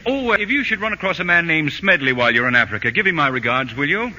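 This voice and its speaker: A man's voice: a little high, big pitch swings, fast, sharp tone, exaggerated voice. A man acting a meddling, unflappable older uncle in a 1940s radio comedy, genial, needling and a little pompous. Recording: dull, faintly noisy vintage radio recording.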